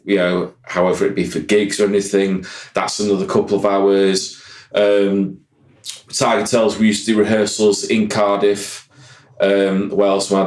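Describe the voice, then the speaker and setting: Guy chatting on a podcast, kinda low voice, rambles a lot, echoey room. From Yorkshire, England. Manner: rambling, keeps saying "like I said". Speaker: guest musician. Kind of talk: casual podcast chat.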